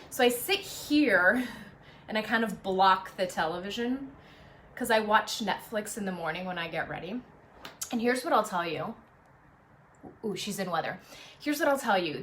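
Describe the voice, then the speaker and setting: A woman presenting traffic and news live from her apartment dining room on a phone, chatty off air and brisk on air, a little sniffly. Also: medium pitch, a bit bright. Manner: chatty and self-deprecating off air, brisk and upbeat on air. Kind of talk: casual live stream chat and on-air traffic report.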